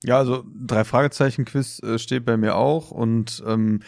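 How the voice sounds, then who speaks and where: A man's voice: medium pitch, steady tone, moderate pace, vocal fry, darkish tone, dry recording, studio quality. A man co-hosting a podcast in casual chat, searching for words as he goes. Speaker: podcast co-host.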